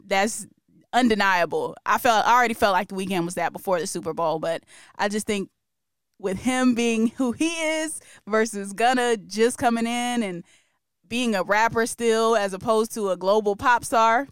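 Brief: woman podcast co-host, opinionated casual chat, smooth clear tone, dry close sound. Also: a little high, normal speed, no room sound. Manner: chatty, opinionated, laughs often.

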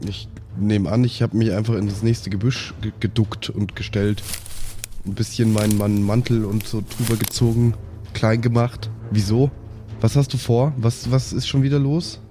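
A man's voice: on the low side, level tone, normal speed, dark tone, faint music behind. A man talking casually and colloquially as a player in an improvised tabletop role-playing game.

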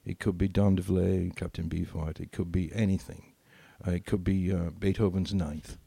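A man's voice: low-pitched, flat delivery, moderate pace, gravelly, warm tone, breathy edge, no room sound. Older man reminiscing, dry close sound. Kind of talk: casual storytelling and reminiscence.

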